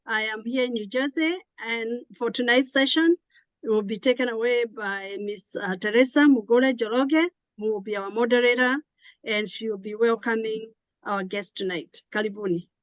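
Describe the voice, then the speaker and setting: Host: woman, slightly high voice, earnest, hesitant, dry muffled call audio. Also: moderate pace, dry recording. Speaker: event host. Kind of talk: opening remarks at an online session. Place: home in New Jersey.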